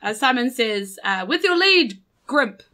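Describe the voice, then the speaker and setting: Lively, bright New Zealand woman. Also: on the high side, a little slow. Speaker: tabletop game player. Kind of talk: playful casual game chat.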